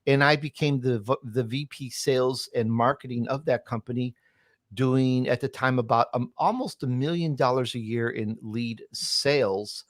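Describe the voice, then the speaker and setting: A man's voice: mid-range voice, normal speed, no room sound, clean studio sound. A man speaking as an interview guest, telling his business story and giving advice in an emphatic, self-assured, conversational way. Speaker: interview guest, podcaster and entrepreneur.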